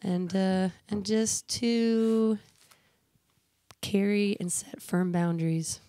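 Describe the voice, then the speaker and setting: A woman in her thirties chats as a guest on a radio show, quick to laugh and poke fun at herself. Her voice sits at a middle pitch and comes through a close studio mic. The booth is dry, with no room echo at all. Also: steady tone, mellow. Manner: chatty, self-mocking, laughs often. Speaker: guest musician. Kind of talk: casual radio interview chat. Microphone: studio microphone.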